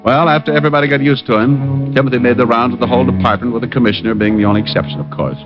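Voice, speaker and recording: An actor playing a wisecracking private detective who also narrates, in an old radio drama with dry, easy delivery. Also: male voice, medium pitch, racing, lightly acted. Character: wisecracking male private eye. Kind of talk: 1940s radio acting. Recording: dull vintage radio recording with music.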